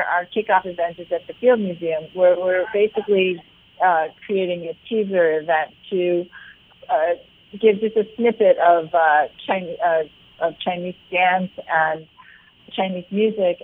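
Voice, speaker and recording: A woman speaking as an arts leader in a radio interview, explaining things in a conversational way. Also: fairly low pitch. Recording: narrow phone-like radio sound.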